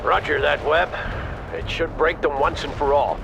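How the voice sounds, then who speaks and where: Seasoned American colonel: gravelly, slightly raised pitch, blunt command, military video game. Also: male voice, steady tone, moderate pace, heavy background noise. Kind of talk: video game cutscene acting.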